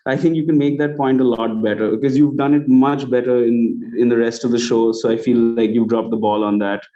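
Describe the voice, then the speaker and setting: Mellow Indian man giving critique, muffled audio. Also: medium pitch, fairly even intonation, fairly quick. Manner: conversational, acts out example lines. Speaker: judge giving feedback on student audio plays. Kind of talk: spoken critique on an online event. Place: online video call. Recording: dull, lo-fi online call audio.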